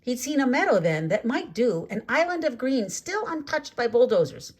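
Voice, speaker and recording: A woman reading a children's story aloud in an expressive, deliberate way with dramatic phrasing. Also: sits high. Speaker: storybook reader. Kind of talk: read-aloud children's story narration with asides.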